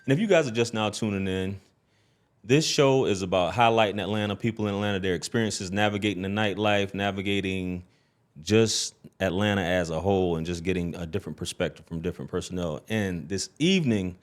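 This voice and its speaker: Host: man with a very deep voice, relaxed, keeps the talk flowing. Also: a little fast. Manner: easygoing, affirming with short responses. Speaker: podcast host. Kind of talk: casual interview conversation.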